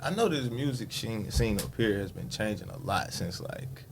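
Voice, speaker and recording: A man's voice: lowish voice, lively intonation, moderate pace, creaky, slight background noise. Slangy, cursing young man in his late twenties. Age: late 20s. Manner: slangy, profane, keeps saying "you know what I'm saying". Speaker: guest rapper. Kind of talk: casual podcast chat.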